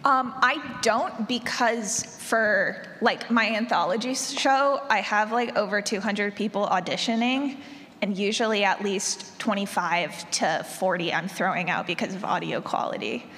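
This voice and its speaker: A woman's voice: mid-range voice, unhurried, fairly bright tone. A woman speaking conversationally on a panel as an audio drama creator and sound designer. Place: large convention room, live audience. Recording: echoey hall, long reverb.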